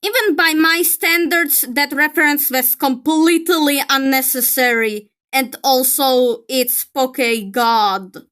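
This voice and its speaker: A woman's voice: sits high, takes it slowly, hardly any pauses, sharp tone. A woman giving opinionated commentary in an exasperated, emphatic manner. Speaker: video creator. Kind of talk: commentary reacting to a film critique.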